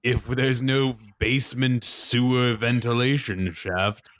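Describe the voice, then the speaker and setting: A man's voice: medium pitch, slow pace, fairly bright tone, dry recording, clean studio sound, pitched above the performer's own voice. A man playing a smug demolitions architect. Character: proud creepy male demolitions architect with long fingers.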